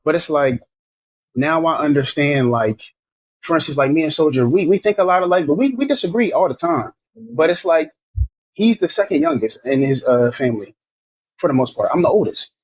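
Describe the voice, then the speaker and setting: A man's voice: a little high, expressive, normal speed, husky, poor audio. A man from Maryland talking frankly on a podcast, rambling with lots of restarts and swearing. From Maryland, USA. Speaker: podcast guest. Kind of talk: casual podcast chat.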